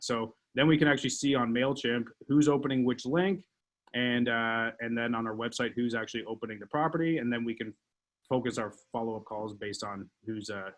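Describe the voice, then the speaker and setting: A man's voice: medium pitch, fairly even intonation, fairly quick. A man talks casually to his team on a call. His voice sits in a middle range, and he wanders through points with fillers and restarts. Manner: rambling, many fillers and restarts.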